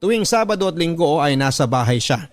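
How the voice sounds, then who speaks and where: A man's voice: on the high side, lively intonation, a little fast. Filipino man narrating dramatically over music. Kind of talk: dramatic first-person story reading of a listener's letter. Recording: music under the voice. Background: background music.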